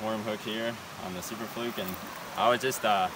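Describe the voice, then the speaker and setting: A man explaining his fishing tackle outdoors by a river in a relaxed, halting way, often pausing on "uh". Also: fairly low pitch. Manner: laid-back, hesitant, says "uh" often. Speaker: fishing partner. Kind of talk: casual explanation. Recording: distant voice with river noise.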